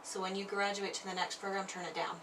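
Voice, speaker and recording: A woman's voice: mid-range voice, little pitch movement, quick pace. Woman, audiologist, patient and reassuring, far from the mic, noisy clinic room. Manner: patient, reassuring, checks understanding often. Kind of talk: explaining device settings to a patient.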